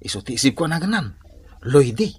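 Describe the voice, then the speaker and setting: Husky, wildly animated joking buddy. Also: male voice, mid-range voice, fast. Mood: scheming. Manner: joshing, chuckling, exclamatory. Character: teasing, girl-chasing buddy who loves to joke around. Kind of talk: comedic radio drama acting.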